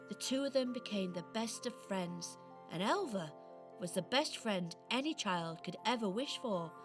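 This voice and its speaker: A woman tells a children's story as a radio play narrator. Her voice is high and she takes her time, pausing for drama. Soft music sits behind her. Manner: dramatic pauses, expressive storytelling.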